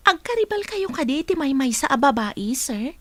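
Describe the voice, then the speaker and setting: Woman acting high-pitched, teasing office colleague. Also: sing-song, normal speed, no room sound. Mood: prying, playful. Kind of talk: Ilocano radio drama acting.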